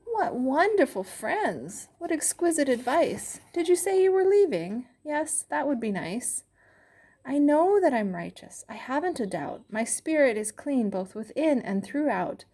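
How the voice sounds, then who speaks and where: A woman's voice: high-pitched, sing-song, unhurried, mellow, voice pushed up, heavily acted. A woman voicing a suffering righteous man who pleads with God in anguished rhyme. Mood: bitter, pleading. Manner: anguished, rhyming lament.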